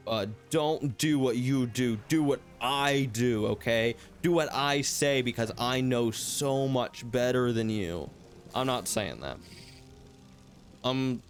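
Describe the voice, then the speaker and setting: Slow, rambling young man, a bit hoarse. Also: medium pitch. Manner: rambling, loose and reflective, many fillers. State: slightly hoarse from hours of talking. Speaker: podcast host. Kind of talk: casual solo ramble.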